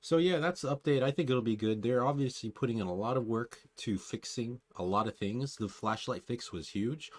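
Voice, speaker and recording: A man giving casual, opinionated commentary on game patch notes, with dry asides as he reads. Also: on the low side. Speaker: gaming guide host.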